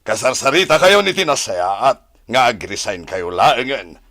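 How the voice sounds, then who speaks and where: An actor playing a stern, reproving company boss with an Ilocano accent in a radio drama. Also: male voice, medium pitch, sing-song, measured pace, sharp tone.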